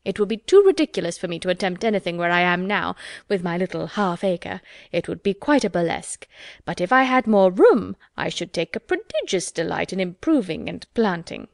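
A woman voicing an officious, self-important older widow who talks insistently and loudly. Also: fairly low pitch, big pitch swings, brisk, vocal fry, no room sound, clean studio sound. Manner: insistent, loud, fussy.

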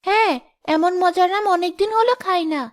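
A woman's voice: very high voice, brisk. A woman voicing an earnest, protective village girl, the elder sister, in a children's cartoon. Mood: hesitant, agreeable. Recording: music behind the voice.

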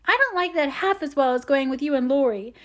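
Little girl voiced by a woman, high voice, whiny, stubborn, coaxing, petulant, storybook character. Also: expressive, fairly quick. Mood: pleading.

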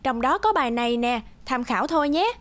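Big sister in a cartoon, teen student, high voice, caring but kinda firm. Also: female voice, lively intonation, fairly quick, fairly bright tone. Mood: helpful. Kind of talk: cartoon dialogue.

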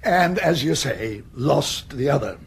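A muddled old earl of the English upper class for radio comedy. The voice is high, very animated and gravelly. He blusters, grumbles and repeats himself forgetfully. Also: slow pace, slight background noise, exaggerated voice. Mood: grumpy, terse. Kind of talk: radio comedy drama acting.

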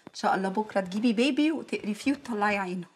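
A woman's voice: mid-range voice, very fast, husky, lightly acted. An actress with an Egyptian accent playing a frazzled, sarcastic working mother in a TV comedy drama. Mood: guarded, sarcastic.

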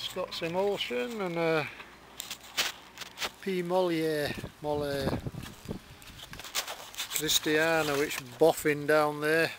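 Yorkshire guy in his 40s filming his dig, bit high voice, dry jokes, noisy outdoor cam mic. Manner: dry, wry understatement. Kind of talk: casual commentary while digging. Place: outdoors at a dig site in the countryside. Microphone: handheld or worn camera close to face. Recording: outdoor camera mic with clear background noise.

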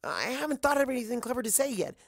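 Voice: medium pitch, fast talker, no echo, clean studio sound, pitched above the performer's own voice, close to an everyday voice. A narrator voicing a nervous, awkward young pony mare who babbles and over-explains in an audiobook-style story.

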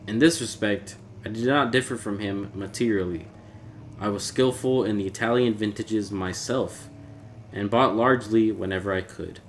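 A man giving a measured, formal, brooding dramatic reading of a classic horror story as its narrator. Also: fairly low pitch. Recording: clear background noise, ambience.

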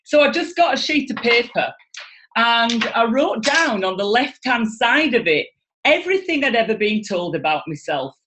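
A middle-aged woman with a Yorkshire accent, speaking as a guest in an enthusiastic, expressive way over a video call from home. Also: on the high side, moderate pace, rough recording. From Yorkshire, England. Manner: enthusiastic, expressive, gestures a lot. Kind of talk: animated motivational talk. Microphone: video call webcam.

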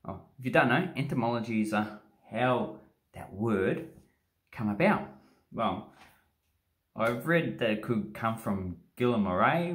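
A man in his forties with an Australian accent, cheekily explaining slang into a phone held close in a small bathroom. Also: medium pitch. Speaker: slang vlogger. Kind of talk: casual explainer vlog. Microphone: phone camera held close.

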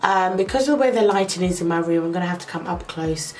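A young woman with a cold, sounding nasal, chatting step by step through a makeup tutorial in her bedroom, close to the camera. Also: on the low side. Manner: slow, chatty step-by-step talk with fillers. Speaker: makeup vlogger. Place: small bedroom indoors. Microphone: camera close up to the face.

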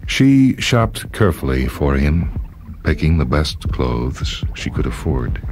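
A man reading literary fiction aloud as scripted narration, in a measured, dramatic way. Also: very deep voice, very animated, background noise. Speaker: story reader.

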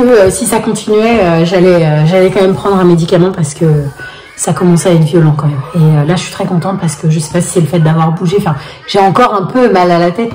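A woman in her forties vlogging casually at home, chatty and hesitant, with a husky voice and a slight cold. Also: medium pitch, a little mellow. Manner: chatty, many hesitations, frequent laughs. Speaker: vlogger, single mother. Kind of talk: casual home vlog to camera. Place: small rooms of a home, indoors. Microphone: phone held at arm's length. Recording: echoey room.

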